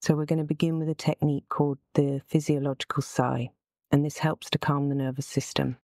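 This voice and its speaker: A woman leading a guided relaxation as the instructor, speaking in a gentle, soothing, unhurried manner. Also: low voice, flat delivery, no room sound, clean studio sound.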